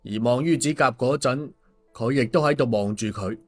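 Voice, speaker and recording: A male audiobook storyteller, narrating a scripted tale at an ordinary middle pitch. Soft music plays behind his voice. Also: moderate pace. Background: background music.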